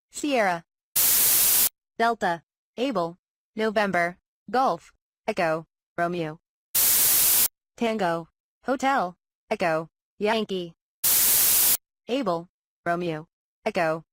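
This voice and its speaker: Hissy, static-laden woman reading code words slowly. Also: lowish voice. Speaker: code-word announcer. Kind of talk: isolated phonetic-alphabet words read as radio broadcast.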